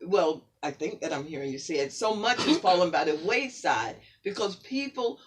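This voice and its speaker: Older man, host, preacherly, affirming, high voice, distant mic. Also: very animated, moderate pace. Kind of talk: casual faith conversation.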